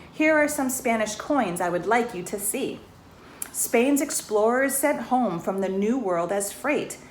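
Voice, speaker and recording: A woman with a fairly high voice reads a rhyming picture book to children. Her delivery is expressive, with asides and questions to the listeners. The sound is echoey and roomy, with slight noise behind her. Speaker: bank employee reading a storybook to children. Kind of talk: children's storybook read-aloud, rhymed verse with asides to listeners. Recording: roomy echo, faint background noise.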